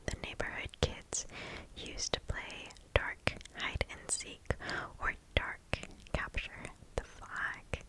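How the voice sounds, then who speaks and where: A woman speaks entirely in a soft, breathy whisper, very close to the microphone. Her delivery is slow and deliberate, with gentle phrasing suited to an ASMR reading of scary stories. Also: poor audio. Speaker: ASMR host.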